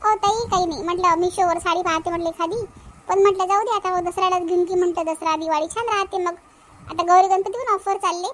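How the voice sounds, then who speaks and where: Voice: very high voice, fairly bright tone. A performer voicing a chatty young married village housewife in a cartoon family comedy, with a childlike voice and a colloquial Vidarbha Marathi accent.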